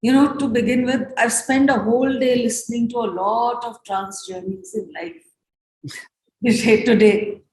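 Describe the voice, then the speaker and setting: An Indian-accented woman hosts a discussion in an echoey room. Her voice is slightly high and she talks slowly. She speaks unscripted, pausing and restarting as she reflects and gives appreciation. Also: steady tone, a little mellow. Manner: halting, reflective. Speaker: host of post-performance conversation.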